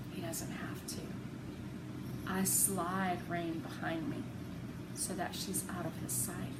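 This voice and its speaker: Slow-paced woman narrator in a roomy recording. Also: on the low side. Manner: deliberate, dramatic phrasing. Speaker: read-aloud narrator. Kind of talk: expressive children's novel narration. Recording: roomy, noisy home recording.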